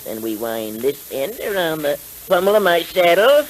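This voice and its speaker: An actor playing a coldly self-righteous masked rider in a 1930s radio western serial, with formal, taunting speech on a hissy old recording. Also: male voice, on the high side, very animated, moderate pace, rough recording. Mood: menacing, mocking.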